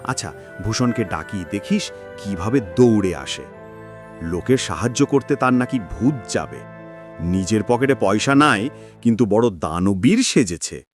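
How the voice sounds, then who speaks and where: A man's voice: fairly low pitch, animated, measured pace, faint music behind. A man voicing a mocking, grumbling village man in a cartoon with a sneering manner.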